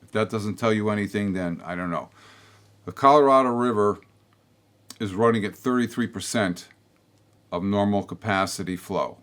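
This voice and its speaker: An older man hosting a local public-access opinion show, talking bluntly in a meandering way with many restarts and asides. His voice is slightly hoarse from a throat tickle. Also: fairly low pitch, normal speed, a bit bright. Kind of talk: rambling opinion monologue to camera.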